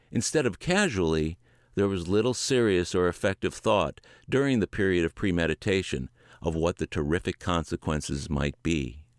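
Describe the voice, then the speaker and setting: Male audiobook reader, lowish voice, lively earnest phrasing, dry close mic. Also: no room sound. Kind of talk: word-for-word book reading.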